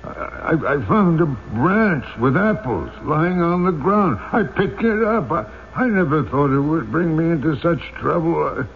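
An actor playing a poor, bewildered man with no memory on trial in a radio drama, halting and stammering. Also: on the high side, animated, a little hiss or hum. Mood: confused, halting. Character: bewildered, humble, poor amnesiac vagrant on trial. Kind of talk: old-time radio drama acting. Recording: tinny old radio recording.

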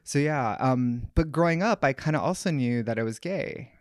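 A man's voice: medium pitch, lively intonation, normal speed, slight background noise. Candid male guest from Hawaii. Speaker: podcast guest. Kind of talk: personal storytelling interview.

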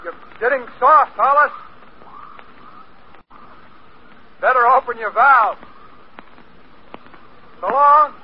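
An actor playing a plain-spoken, halting spaceman facing death in a 1950s radio drama. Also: extremely high pitch. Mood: resigned farewell. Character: gruff dying spaceman softened by shame. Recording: muffled, hissy 1950s radio recording.

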